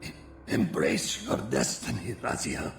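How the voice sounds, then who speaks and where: An actor playing a dying ancient vampire mentor in a video game, weak, halting and solemn, with a gravelly, breathy voice. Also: male voice, deep voice, lively intonation, soft background music, heavily acted. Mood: urgent, weak. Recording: echoey, distant, faint noise.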